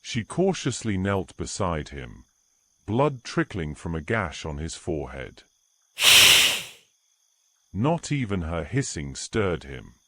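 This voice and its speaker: A man reading scripted story narration in a measured storytelling manner. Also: low-pitched, very animated, no echo.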